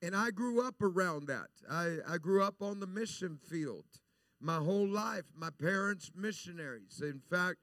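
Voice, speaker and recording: A man's voice: high-pitched, expressive, moderate pace, fairly bright tone, no echo. A man, a guest preacher whose first language is Spanish, delivering a Pentecostal sermon and dropping "amen" and "praise God" between his sentences. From El Salvador.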